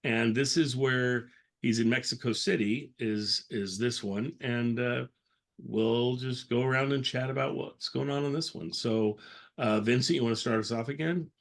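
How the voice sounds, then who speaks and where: A man's voice: medium pitch, normal speed, vocal fry. A man hosting a podcast in a casual, informal and rambling way. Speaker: podcast host. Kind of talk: casual chat.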